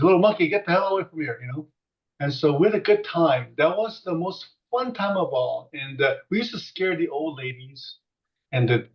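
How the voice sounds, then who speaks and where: Older Korean-born man, storytelling interviewee, rambling with fillers; mic set far off. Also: mid-range voice. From South Korea. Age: 60s. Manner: rambling, many fillers and restarts. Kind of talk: reminiscing storytelling. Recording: distant muffled mic.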